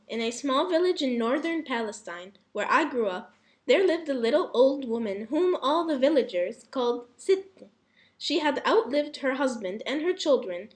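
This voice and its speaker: A woman speaking as a student in class, answering and reading aloud indoors. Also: high voice.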